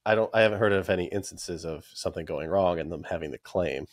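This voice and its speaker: Low-voiced man hosting a podcast chat. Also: fast, dry recording, clean studio sound. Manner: hesitant, many fillers and restarts. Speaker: podcast host. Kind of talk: casual interview chat. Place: small room with collectible shelves. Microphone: studio mic with headphones.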